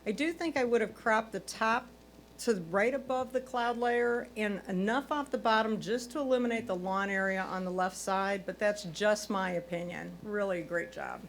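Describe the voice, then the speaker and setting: A woman's voice: mid-range voice, moderate pace. A woman working as a photo competition judge, reading her own prepared critiques in a constructive, encouraging manner. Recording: clear background noise, hum.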